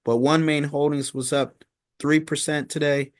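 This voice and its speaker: A man hosting an informal stock market recap, talking in a halting, repetitive way and often checking in with "okay?". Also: a little high, little pitch movement, no echo, clean studio sound.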